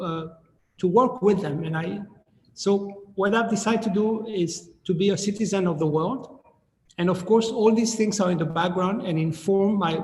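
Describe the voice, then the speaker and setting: Middle-aged Chilean-born man, high voice, echoey room. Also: darkish tone. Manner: measured, restarts phrases while thinking. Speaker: artist guest. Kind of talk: thoughtful Q&A answers. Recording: echoey room with long reverb.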